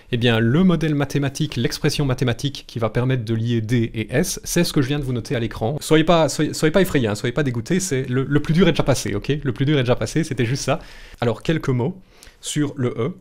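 A man's voice: medium pitch, darkish tone. A man in his thirties with a Belgian French accent, teaching statistics live in a chatty, reassuring, casually blunt and jokey way, close to the mic in a small room. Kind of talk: informal live tutorial, explaining step by step. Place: small home room, indoors.

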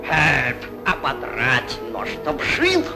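A man's voice: medium pitch, huge pitch swings, extremely slow, exaggerated voice. An actor playing a sly, domineering male boa constrictor boss who barks commands in an old cartoon. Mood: commanding. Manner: commanding, snaps "Эп!" orders. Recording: old 1940s film soundtrack with music.

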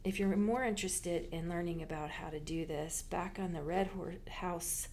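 A woman who works as a library genealogist, walking listeners through a slideshow presentation in an unhurried, informative way with frequent fillers and restarts. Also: fairly low pitch, a little mellow, a little hiss or hum.

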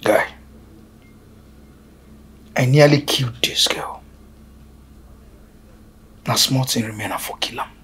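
A man's voice: fairly low pitch, fairly even intonation, quick pace, hardly any pauses, bright, forceful tone, full character voice. An actor with a Nigerian accent playing a troubled young man who talks in a subdued, serious way. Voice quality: husky. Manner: subdued, serious, half-whispered.

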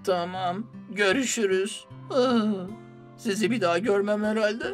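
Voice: medium pitch, a little slow, lightly acted. An actor voicing a bossy, playful, lonely character in a dubbed children's cartoon, with a theatrical, teasing delivery. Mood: wistful. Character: lonely, bossy, mischievous playful character guarding motor oil. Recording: music behind the voice.

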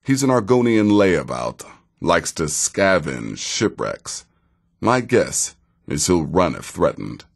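An actor playing a seasoned, sardonic assassin in a video game, speaking in a measured, dry way with wry menace. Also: male voice, sits low, very animated, vocal fry, full character voice. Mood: measured, grave. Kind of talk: video game character dialogue.

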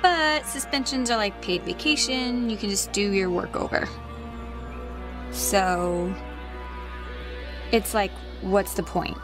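A woman acting as a laid-back but apologetic American teenage girl. She speaks in a mid-pitched voice, haltingly, correcting herself as she goes. Game music plays clearly behind her natural, conversational delivery. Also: lightly acted. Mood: casual, awkward. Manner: hesitant, trailing off, self-correcting. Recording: game soundtrack music under the voice.

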